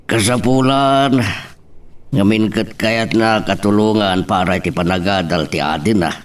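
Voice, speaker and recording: Low-voiced older Ilocano village father played by an actor. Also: a little slow, distant mic, close to an everyday voice. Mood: explaining. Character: older rural father, worried about his daughter. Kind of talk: Ilocano melodrama acting.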